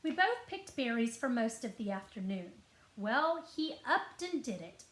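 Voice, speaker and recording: A woman reading a children's story aloud as a librarian would, expressive and deliberate, drawing out key words. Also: a little high, slight background noise. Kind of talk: expressive scripted story narration. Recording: roomy, echoing indoor sound.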